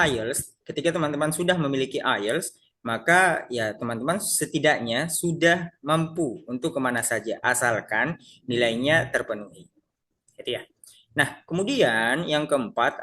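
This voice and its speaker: A man's voice: mid-range voice, moderate pace, fairly bright tone. Chatty man teaching a class. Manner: friendly, addresses listeners as friends, many fillers and restarts. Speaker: IELTS teacher. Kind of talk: casual online class lecture.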